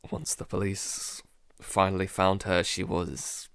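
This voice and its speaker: A haunted survivor played by a man records an audio-drama diary at a slow, heavy pace. He is traumatised and frightened, speaking haltingly with stammers and sighs. The sound is dry and close. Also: low voice, level tone, a bit bright, clean tone, dry recording, exaggerated voice. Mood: grim, haunted.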